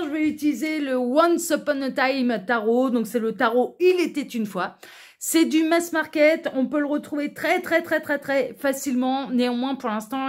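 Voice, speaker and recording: A woman hosting an online tarot reading, talking casually to viewers in a chatty, informal, hesitant way full of asides. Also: sits high. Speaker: online tarot reader and channel host.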